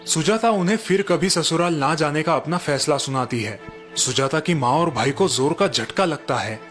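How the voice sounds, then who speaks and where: A man's voice: fairly high pitch, normal speed, soft background music. A man narrating a village moral story in a scripted storytelling style. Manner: even, explanatory narration.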